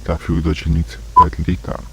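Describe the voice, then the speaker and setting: A man's voice: very deep voice, completely flat delivery, normal speed. A man narrating a scripted analog horror case file in a detached, report-like manner. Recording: faint hum and background noise.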